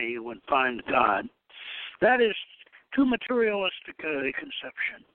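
A man hosting a radio show, reading aloud from a book with spoken asides in emphatic, deliberate phrasing. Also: a little high, no room sound, phone-quality sound.

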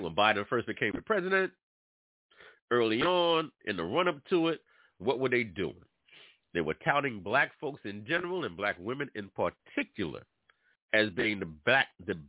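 A man's voice: lowish voice, normal speed, dry recording. A man from New York hosting an internet radio show, talking casually and preachily straight to his listeners, congested from allergies. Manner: animated, preachy, addresses listeners directly. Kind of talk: casual talk radio monologue. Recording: dry, tinny phone-like sound.

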